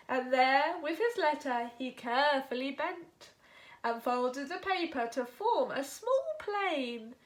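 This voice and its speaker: A woman storyteller reading a rhyming children's story expressively. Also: high voice.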